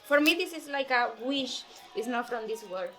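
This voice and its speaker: A woman's voice: high-pitched, lively intonation, moderate pace. A woman from Venezuela with a Venezuelan Spanish accent, a chef cheerfully co-hosting a cooking demonstration in English. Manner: cheerful, laughs often.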